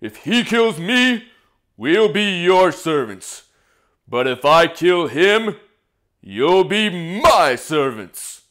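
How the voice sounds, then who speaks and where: Male storyteller as menacing giant warrior, taunting, slow, loud, declamatory, Bible tale. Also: high-pitched, sing-song, heavily acted. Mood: taunting challenge.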